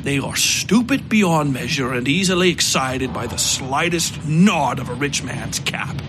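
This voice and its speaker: A man voicing a bitter, drunken aristocrat who lectures and rants with a sneer, sometimes breaking into shouts. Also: mid-range voice, animated, a little slow, background noise, natural voice. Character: drunk, bitter, ranting male aristocrat theorist. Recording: music bed underneath.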